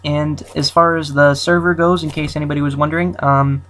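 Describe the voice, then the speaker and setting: A young man hosting his own channel, talking off the cuff in a halting, rambling way with many fillers and restarts. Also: on the high side, little pitch movement, fairly bright tone, slight background noise. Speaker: channel host. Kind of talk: casual unscripted countdown commentary.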